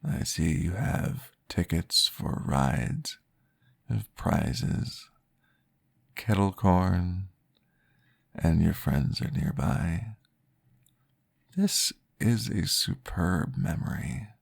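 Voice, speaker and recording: A man playing a calm, courteous vampire therapist in an ASMR roleplay, guiding gently and formally in a breathy, gravelly voice. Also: sits low, full character voice. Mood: quietly delighted. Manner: slow, gentle, formal, soothing guidance.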